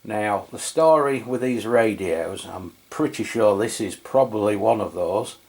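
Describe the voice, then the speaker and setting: Middle-aged English male reviewer, lowish pitch, very unhurried, halting and conversational. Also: animated, darkish tone. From England. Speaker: radio review channel host. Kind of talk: casual product review commentary.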